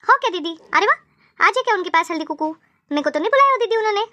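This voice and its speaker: A woman's voice: extremely high pitch, lively intonation, racing, bright, forceful tone, heavily acted. A woman voicing a friendly but needy, easily slighted neighbour in a cartoon comedy, chatty and wheedling in colloquial speech. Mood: hurt, wheedling. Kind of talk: animated cartoon comedy dialogue.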